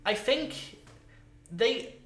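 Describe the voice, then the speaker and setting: A man chatting casually as a podcast co-host, rambling in an animated, sweary way and leaning on "like". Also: very high-pitched, extremely slow. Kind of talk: casual chat about films and games. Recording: roomy, echoey sound.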